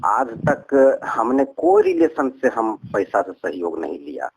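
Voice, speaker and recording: A man's voice: on the low side, huge pitch swings, takes it slowly, vocal fry, background noise. A man with a Bihari accent on a recorded phone call, talking earnestly and at length about personal matters, circling back and repeating his points. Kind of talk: rambling personal account in conversation.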